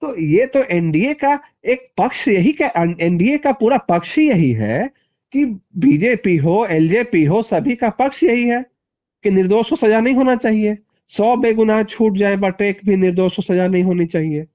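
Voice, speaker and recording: Male political interviewee on a phone call, high voice, insistent and repetitive for stress. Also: lively intonation, measured pace, darkish tone. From Bihar, India (Magadh region). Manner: emphatic, repeats phrases for stress. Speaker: party politician being interviewed.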